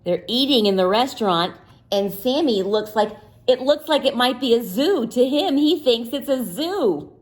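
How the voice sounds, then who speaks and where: A woman teacher reading a picture book aloud to young children, pausing to ask them questions in a playful, sing-song way. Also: on the high side, lively intonation, low-quality recording. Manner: slow and deliberate, pausing for answers.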